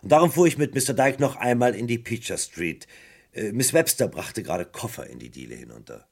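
An actor playing a wry, probing British police detective in a German radio crime play, talking casually with a gravelly, husky voice. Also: male voice, medium pitch, sing-song, a little fast, a little mellow, full character voice. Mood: reflective, reporting. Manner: casual, probing, conversational questioning. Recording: vintage radio play with faint music.

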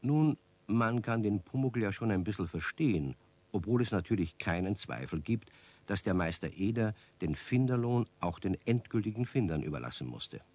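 A man as a calm, understanding storyteller, giving scripted narration in a 1970s children's radio play. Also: on the low side, normal speed. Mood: measured.

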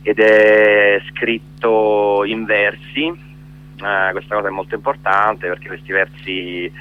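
A man, a stage actor and director, talking about his play in a casual phone interview, pausing often with fillers as he searches for words. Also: lowish voice, little pitch movement, phone-quality sound. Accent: Italian.

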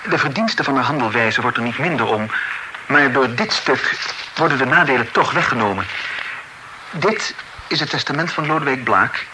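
An actor playing a courteous young suitor in an old radio play, polite and formal, stammering when moved. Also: male voice, medium pitch, lively intonation, moderate pace, pauses often, background noise, natural voice. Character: earnest young male suitor, courteous and hesitant. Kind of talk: old radio drama acting.

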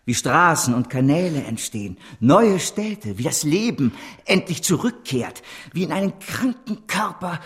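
A man's voice: on the high side, very animated, unhurried, a bit bright, heavily acted. An actor playing a driven male financier and speculator, declaiming grand visions in a radio drama. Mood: visionary, rapturous. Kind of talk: German radio drama acting.

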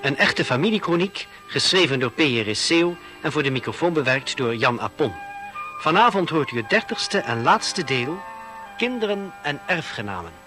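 Animated male radio announcer over music. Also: fairly high pitch, normal speed. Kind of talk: 1960s radio drama announcement, scripted.

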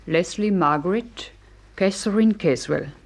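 Low, husky, guarded young woman. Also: measured pace, full character voice. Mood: guarded, curt. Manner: terse, reserved. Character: guarded, evasive young woman of twenty-four. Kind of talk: 1950s radio drama acting.

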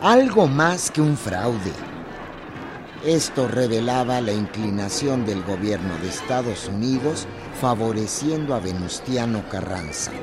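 A man's voice: mid-range voice, animated, a little slow. A man reading scripted historical documentary narration. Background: music.

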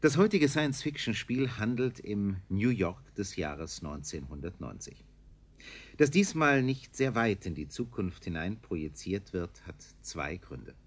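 A man with a fairly low voice introduces a radio play. He reads from a script in measured, formal phrasing, as a literary presenter would. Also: expressive.

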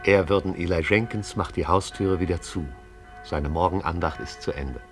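Deep-voiced man narrating a radio play. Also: faint music behind. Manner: brisk, vivid storytelling.

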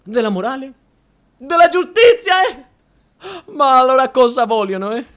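A man's voice: extremely high pitch, fairly bright tone. An actor playing an anxious, self-pitying, cowardly male judge in a radio drama, rambling in agitation with questioning interjections. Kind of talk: stage-style radio drama acting.

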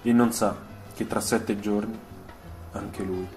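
A man narrating a scripted dramatic radio story, solemn and measured, with deliberate, literary phrasing. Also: on the low side, fairly even intonation, gravelly. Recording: music behind the voice. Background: music soundtrack.

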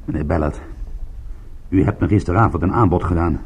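Radio play casting: wary detective, dry and pointed, relaxed husky voice. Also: male voice, deep voice, a little fast. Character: male private detective, wry, wary and dogged. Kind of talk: Dutch radio drama acting.

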